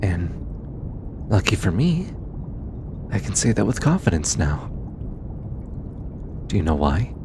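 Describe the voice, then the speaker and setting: Casting: male actor as kind, playful alien researcher for intimate audio roleplay; low-pitched, unhurried, eager, teasing; rumbly noise behind. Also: very animated, full character voice. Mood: reassuring, playful.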